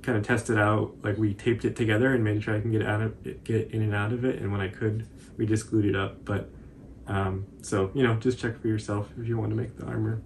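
A man in his twenties giving a casual, informal tutorial commentary in a halting, hesitant way. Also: lowish voice, flat delivery, slight background noise. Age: 20s. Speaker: cosplay tutorial presenter.

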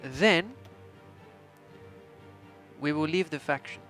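A young man in his twenties plays game host with a put-on Austrian accent and a rather high-pitched voice. His delivery is theatrical and mock-imperious, like a ruler giving orders. Music plays behind him. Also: takes it slowly, a bit bright. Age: 20s. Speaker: gaming channel host. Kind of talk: playful strategy game let's play commentary.

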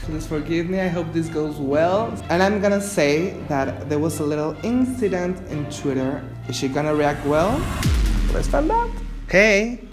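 A man's voice: fairly high pitch, lively intonation, slow. A man with a Mexican accent and Spanish as first language, chatting casually as a campy, giggly, slangy drag host making prank calls. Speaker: drag performer hosting prank-call video. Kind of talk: casual prank-call chat. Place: living room, seated on a sofa. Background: background music.

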